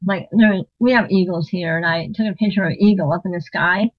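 A woman telling a long story in a casual interview, animated, acting out what people said and laughing often. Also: fairly low pitch, fairly quick, no echo, highs cut off. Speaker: interview guest, drummer and singer.

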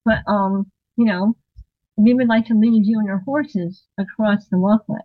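A woman telling a long story in a casual interview, animated, acting out what people said and laughing often. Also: mid-range voice, a little slow, no room sound, phone-quality sound. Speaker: interview guest, drummer and singer.

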